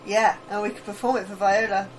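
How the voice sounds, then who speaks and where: An actress playing an anxious, curious scientist in a sci-fi audio drama, earnest, awkward and a little hesitant. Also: female voice, mid-range voice, little pitch movement, brisk, slight background noise, lightly acted, rough recording. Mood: awkward, friendly. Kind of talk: audio fiction acting.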